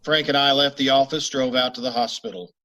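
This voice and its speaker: A man's voice: medium pitch, a little fast, vocal fry. A man playing a terse police sergeant. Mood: narrating. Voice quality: flat, clipped, matter-of-fact delivery. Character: terse, clipped male police sergeant and narrator. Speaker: radio actor. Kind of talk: 1950s police radio drama acting.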